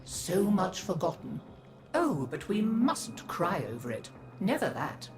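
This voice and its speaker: A gentle old mother in a game, doting and a little wistful. She speaks slowly in a lilting, old-fashioned, folksy way. Music plays clearly behind her. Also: on the low side, very animated. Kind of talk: video game character acting.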